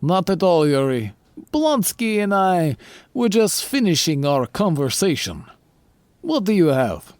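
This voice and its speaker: A man's voice: high voice, very animated, darkish tone, no echo. A man playing a stern, commanding super-soldier team leader who threatens coldly. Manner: cold, slow, threatening.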